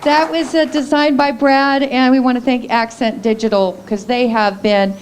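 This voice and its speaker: A woman's voice: on the high side, fairly even intonation, moderate pace, noisy background. An American woman co-hosting a live radio event, making casual announcements to the room. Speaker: radio show co-host.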